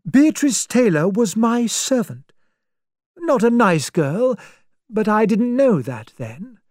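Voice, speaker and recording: Older spinster, stern and unbending, rather dark tone; a woman narrator does her. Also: fairly high pitch, sing-song, takes it slowly, no room sound, clean studio sound, voice pushed up. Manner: prim, unbending, judgmental.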